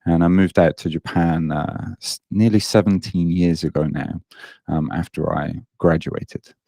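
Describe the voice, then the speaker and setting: A British man with a deep, mellow voice talks in an easy, self-deprecating way and often stops on "um" and "uh". The sound is close and dry, with no room echo. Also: fairly even intonation, unhurried, vocal fry. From Britain. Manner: self-deprecating, many ums and uhs. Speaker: online fitness coach, guest. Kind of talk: casual podcast interview.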